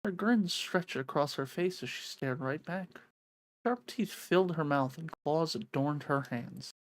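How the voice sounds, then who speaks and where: An expressive, excitable streamer reading a visual novel aloud in a dramatic way, with casual sweary reactions. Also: medium pitch, measured pace, no room sound.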